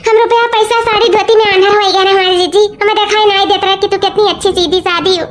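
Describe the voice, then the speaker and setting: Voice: very high voice, fairly even intonation, fast, fairly bright tone. An actor voicing an emotional young village sister in a cartoon comedy, with an Awadhi accent and an effusive, repetitive, pleading manner. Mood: remorseful pleading. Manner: pleading, effusive, repeating phrases.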